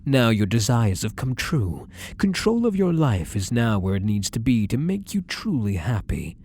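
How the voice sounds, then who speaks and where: A man playing an obsessively loving, possessive fake psychiatrist in an ASMR roleplay, coaxing tenderly in a soft murmur that slips into whispers. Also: mid-range voice, big pitch swings, moderate pace, no room sound. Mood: possessive, reassuring.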